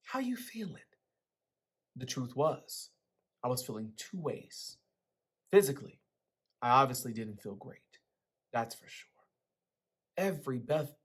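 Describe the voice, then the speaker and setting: A man giving a dramatic first-person reading from a book, with a husky voice. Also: mid-range voice.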